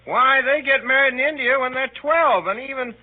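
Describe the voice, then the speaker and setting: A man's voice: extremely high pitch, normal speed. An actor playing an earnest, know-it-all teenage boy in a 1930s radio comedy, rambling on insistently. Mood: earnest boasting. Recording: muffled old 1930s radio broadcast.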